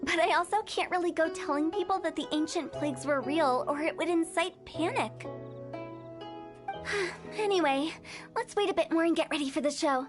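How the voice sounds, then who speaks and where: A woman's voice: very high-pitched, normal speed. A woman playing a playful, mischievous young funeral director in a video game, with theatrical, teasing showmanship. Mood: breezy, confident. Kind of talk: video game character acting. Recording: music behind the voice.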